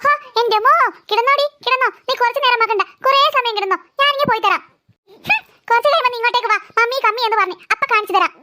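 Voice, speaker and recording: A woman's voice: very high-pitched, fast talker, pushed and bright. A woman voicing a fussy, concerned mother in a children's cartoon, coaxing and nagging. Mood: exasperated.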